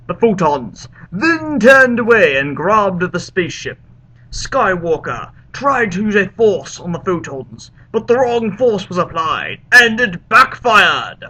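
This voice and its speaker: A man playing a pompous, earnest foreign author who gives theatrical, deliberate dramatic readings of his absurd broken-English stories. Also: high-pitched, bright, forceful tone, heavily acted, poor audio. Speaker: reader of fan fiction.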